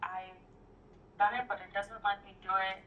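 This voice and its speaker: A woman's voice: medium pitch, flat delivery, normal speed, a little hiss or hum. A woman learning a trading setup, giving short, hesitant answers and questions over a call. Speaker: student. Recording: distant muffled call audio.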